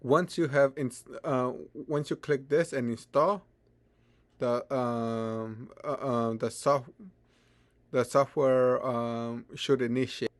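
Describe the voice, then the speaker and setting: A man giving an unscripted software tutorial in a halting way, often stammering and repeating his words as he goes. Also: mid-range voice, steady tone. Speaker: tutorial presenter.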